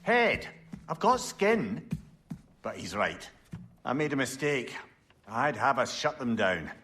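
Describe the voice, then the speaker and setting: An actor playing a witty old talking severed head in a video game, with a wry, expressive manner. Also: male voice, mid-range voice, takes it slowly, background noise. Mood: wry, offended.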